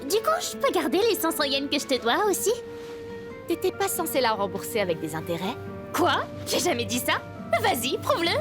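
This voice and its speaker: Very high, animated cheeky schoolgirl. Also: fairly quick. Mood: playful bickering. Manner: exclamatory, playful. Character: cheeky, talkative, teasing teenage schoolgirl friend. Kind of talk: French video game dubbing. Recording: music behind the voice.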